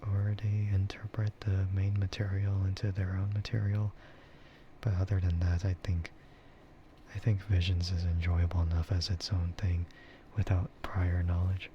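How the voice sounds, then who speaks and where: A man streaming a casual, rambling ASMR chat in a soft, breathy voice that often slips into a whisper. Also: deep voice, completely flat delivery, normal speed, muffled recording, a little hiss or hum. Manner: rambling, laid-back. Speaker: streamer and VTuber host.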